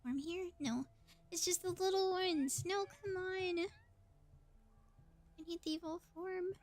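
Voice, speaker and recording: A woman's voice: extremely high pitch, slow pace. A woman doing casual live game commentary as a streamer, chatty and thinking aloud as she plays.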